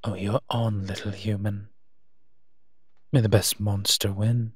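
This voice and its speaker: A man playing a wry, teasing monster who partners a human detective in a roleplay audio drama, speaking with hums and pauses. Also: lowish voice, slightly rough, no room sound, studio quality, heavily acted. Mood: playful, teasing.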